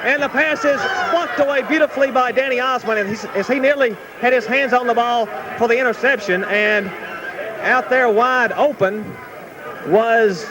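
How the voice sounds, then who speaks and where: A young man doing play-by-play commentary of a high school football game, steady and informative, climbing to excited shouts on big plays, recorded outdoors at a stadium. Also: moderate pace, a crowd in the background. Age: young adult. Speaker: play-by-play announcer. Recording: noisy amateur 1970s recording.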